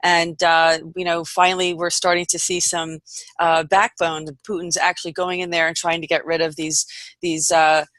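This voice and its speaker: A woman hosts an unscripted discussion in a bright, forceful voice. The sound is close and dry, with almost no room on it. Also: lowish voice, flat delivery, moderate pace, no echo. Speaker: talk show host.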